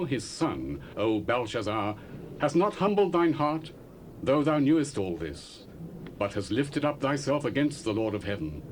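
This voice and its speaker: Fearless old-time prophet, solemn and declaiming, sweeping pitch, faint tape hiss. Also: male voice. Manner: solemn, declaiming, rising and falling emphasis. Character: prophet Daniel, grave and fearless man. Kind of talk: dramatized scripture reading. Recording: cassette recording with faint hiss.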